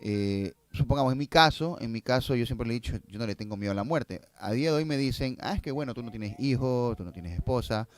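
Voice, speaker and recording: A man's voice: mid-range voice, lively intonation, quick pace, hardly any pauses. A man speaking as a filmmaker guest in a casual interview, explaining and telling anecdotes, often tagging phrases with a questioning "no?".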